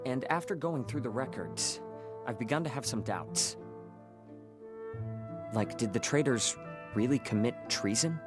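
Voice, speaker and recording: A man's voice: medium pitch, expressive, unhurried, pauses often, close to an everyday voice. Man acting an earnest young scholar, music behind. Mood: earnest, questioning. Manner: earnest, formal, insistent. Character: earnest, idealistic young male scholar pleading for truth. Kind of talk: dubbed video game cutscene acting.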